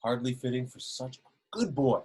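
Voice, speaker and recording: A man's voice: mid-range voice, expressive, moderate pace, darkish tone, slightly rough. A man reading a jovial, evasive police sergeant, hesitant with many restarts. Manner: hesitant, lots of ums and restarts.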